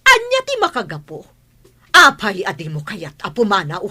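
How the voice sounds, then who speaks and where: A woman's voice: medium pitch, huge pitch swings, normal speed, sharp tone, heavily acted. An actress playing a haughty, hot-tempered mother in a Filipino radio drama, with an indignant, scornful manner and a Filipino accent. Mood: angry, complaining.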